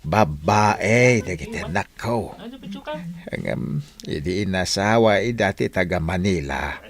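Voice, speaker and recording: A humble old Ilocano widower, talks slowly and haltingly. His pitch wavers up and down as he speaks politely. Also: lively intonation, a crowd in the background. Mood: humble, sorrowful. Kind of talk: radio drama acting.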